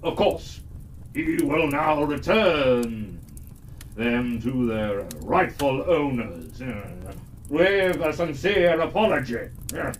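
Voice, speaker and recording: A storyteller voicing a kindly old male wizard in a children's fairytale, calm and diplomatic, speaking in hesitant phrases. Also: medium pitch, distant mic, slight background noise, pitched below the performer's own voice. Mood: gently reproving. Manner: halting, hesitant, with drawn-out pauses.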